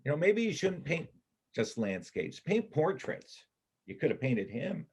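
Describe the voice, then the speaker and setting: A man's voice: a little high, sing-song, a little slow, darkish tone, husky, heavily acted. A man acting a guarded, wry soldier who survived a war, in a church reader's theater play. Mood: apologetic, gentle.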